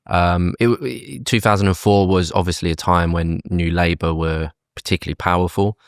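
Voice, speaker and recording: A man from the UK, a campaign group chief, explaining tax and spending in a measured interview manner with fillers and restarts. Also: sits low, fairly even intonation, gravelly, clear, resonant tone, no room sound, clean studio sound. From United Kingdom. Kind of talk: conversational policy interview.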